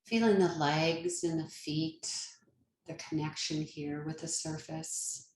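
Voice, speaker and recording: A woman leading a guided meditation as a yoga nidra teacher, speaking gently and soothingly with long pauses. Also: low voice, steady tone, echoey room, a little hiss or hum, low-quality recording.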